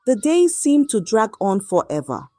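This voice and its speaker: A woman narrating a scripted folktale in a dramatic, measured storytelling manner. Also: a little high, big pitch swings, mellow, no room sound, faint music behind.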